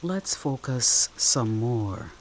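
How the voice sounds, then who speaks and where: Medium-pitched voice, very slow guided meditation, gentle, soothing, long pauses, steady rain behind. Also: a little hiss or hum. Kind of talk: guided meditation, soft instructional narration.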